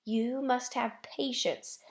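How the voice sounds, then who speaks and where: Woman reading as earnest, unhurried male high-wire master. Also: lively intonation. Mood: earnest, instructive.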